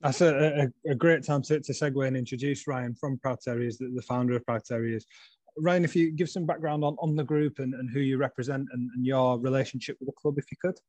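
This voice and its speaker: Guy hosting a podcast, mellow tone, keeps tripping over words, dead dry audio. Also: medium pitch, fairly even intonation, a little fast, dry recording. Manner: stumbling, repeats words. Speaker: podcast host.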